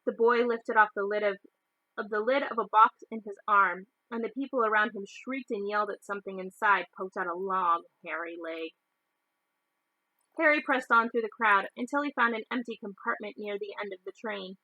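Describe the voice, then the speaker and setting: A woman's voice: medium pitch, normal speed, pushed and bright, no echo, highs cut off. A woman reading a children's novel aloud as a storyteller. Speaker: storybook reader.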